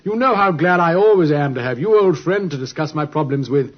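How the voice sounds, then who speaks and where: A brisk genial british gentleman detective, highish husky voice, swoops around, muffled old radio drama. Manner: animated, precise, urbane storytelling.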